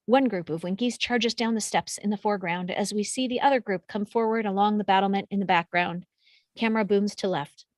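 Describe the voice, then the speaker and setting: A woman's voice: mid-range voice, fairly quick, no room sound. A woman reading out screenplay action descriptions as scripted narration. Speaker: stage directions reader.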